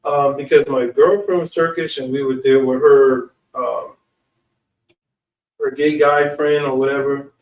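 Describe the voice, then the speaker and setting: An American man telling personal stories casually, with an informal, slangy and halting delivery. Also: medium pitch, fairly even intonation, a little mellow. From United States. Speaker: online speaker and channel host. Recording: echoey room, distant from mic.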